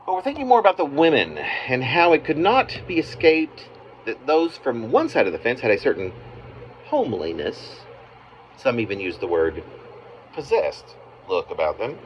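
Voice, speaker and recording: A man reading his own narrative poem aloud as its author, in halting phrases with pauses mid-sentence. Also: medium pitch, wildly swooping pitch. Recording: muffled, noisy amateur home recording.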